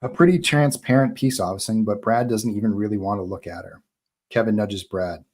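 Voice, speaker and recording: A man with a fairly low voice reads screenplay action and scene headings aloud. He narrates for a live table read. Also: fairly quick, a little mellow. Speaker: narrator reading stage directions.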